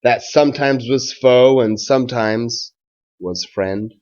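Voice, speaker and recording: A man who is a schoolteacher doing a read-aloud for children and talking to pupils in a measured, simple way. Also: medium pitch, a little mellow, highs cut off.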